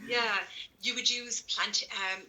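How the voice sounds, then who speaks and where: A woman's voice: fairly high pitch, steady tone, slow pace, sharp tone, low-quality recording. A woman chatting casually and enthusiastically about herbs, with plenty of fillers and restarts. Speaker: herbal products guest. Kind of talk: casual live chat.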